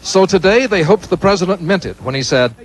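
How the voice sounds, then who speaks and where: A man reporting from the field as a news correspondent in a steady, clear reporting voice. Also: high voice, fairly quick, low-quality recording.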